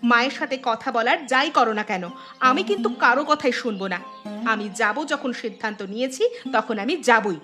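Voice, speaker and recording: High-pitched, headstrong young wife. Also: female voice, brisk, pushed and bright, soft background music. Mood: angry, defiant. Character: headstrong young city daughter-in-law, emotional and insistent. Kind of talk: Bengali cartoon voice acting.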